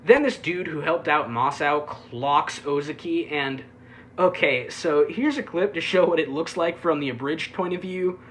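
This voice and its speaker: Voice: medium pitch, measured pace, fairly bright tone, a little hiss or hum. Sarcastic reviewer doing a casual story recap, sweary, tossing in little side comments. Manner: sarcastic, swearing, offhand asides. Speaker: anime reviewer.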